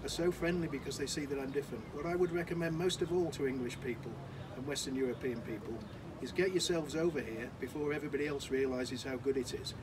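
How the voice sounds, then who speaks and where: Man, 60s, from the Isle of Man, enthusiastic, emphatic, outdoor balcony, camera mic, clear street noise. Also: medium pitch, quick pace, hardly any pauses. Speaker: tourist giving a testimonial. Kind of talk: unscripted testimonial to camera.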